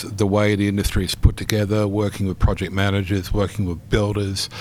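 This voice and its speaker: A man from New Zealand, an architect, answering interview questions in a measured way with many pauses and restarts. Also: low voice, a little hiss or hum. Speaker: architect guest.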